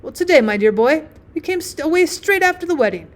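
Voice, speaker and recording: A woman voicing a giddy, boastfully happy newlywed gentleman in an audiobook, tipsy, effusive and rambling. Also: high-pitched, expressive, a little fast, pitched above the performer's own voice. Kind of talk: acted audiobook storytelling.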